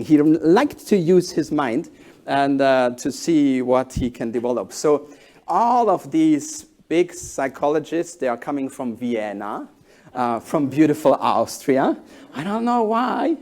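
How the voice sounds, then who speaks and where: A man in his forties whose first language is German, giving a conference keynote in halting English with animated storytelling. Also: a little high. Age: 40s. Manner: animated storytelling, halting non-native phrasing. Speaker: keynote speaker.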